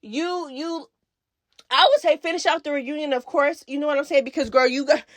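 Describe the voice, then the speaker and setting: Casting: female recap vlogger, high-pitched, sassy and opinionated, slangy delivery, very dry recording. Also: steady tone, moderate pace, no echo. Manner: sassy, slangy, emphatic repetition. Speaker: YouTube reality TV recap host. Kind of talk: casual opinionated commentary.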